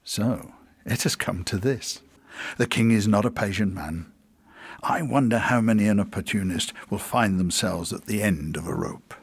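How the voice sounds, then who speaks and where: An actor playing a sly, wry royal advisor who narrates a fantasy audio drama in a measured, courtly, sardonic way. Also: male voice, on the low side, vocal fry, slight background noise. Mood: wry narrating. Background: music.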